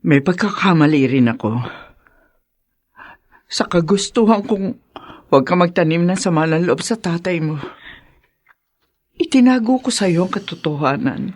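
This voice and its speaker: An actress playing a gentle, apologetic mother of a grown daughter in a Tagalog radio drama, with a Filipino accent. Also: on the low side, lively intonation, moderate pace, long pauses. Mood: regretful.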